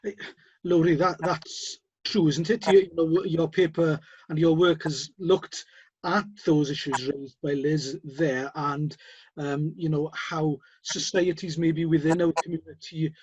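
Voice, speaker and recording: Husky, higher-voiced male host. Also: steady tone, unhurried, darkish tone, lo-fi sound. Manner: hesitant, filler-heavy, prompting questions. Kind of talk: interview discussion.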